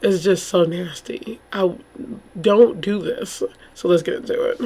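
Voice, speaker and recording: Female vlogger, slightly hoarse, casual and rambling, exclamatory commentary in an informal chatty style. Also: on the low side, measured pace, mellow, soft background music. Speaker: YouTube true crime host.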